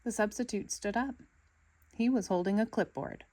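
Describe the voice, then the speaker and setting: A woman with a medium-pitched voice reads a children's storybook aloud. Her narration is expressive and dramatic, made for young listeners following along. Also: moderate pace, no room sound. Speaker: storybook reader.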